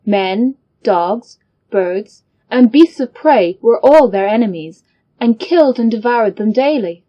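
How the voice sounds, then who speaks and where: A woman giving a measured, scripted reading of a fable for English learners, enunciating each phrase. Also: a little high, animated, lo-fi sound. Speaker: story reader.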